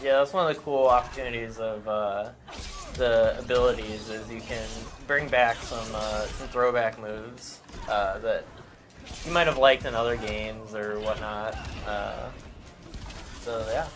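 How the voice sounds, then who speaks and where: A man's voice: fairly low pitch, fairly even intonation, unhurried, over music. A man adding casual, explanatory commentary as a panelist on a game stream.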